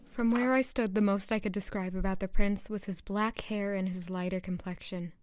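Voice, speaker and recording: An actress playing an earnest, nervous young palace maid who narrates and speaks in a first-person audio drama. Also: female voice, medium pitch, steady tone, normal speed, slight background noise, natural voice. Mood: observant.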